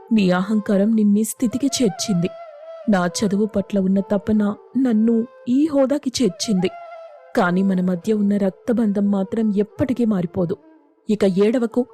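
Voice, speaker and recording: A woman's voice: medium pitch, dark tone, lightly acted. A woman playing a devoted, principled village elder sister who became an officer, earnest and reassuring in a moral story. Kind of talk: dramatised moral story acting.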